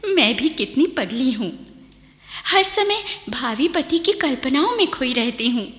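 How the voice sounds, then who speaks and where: A young bride-to-be lost in romantic daydreams, in a radio play monologue. Her high voice is wistful and unhurried, swimming in echo. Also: sing-song, a bit bright, heavily acted. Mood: dreamy longing. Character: dreamy, lovestruck young bride-to-be musing alone. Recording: echoey studio with long reverb.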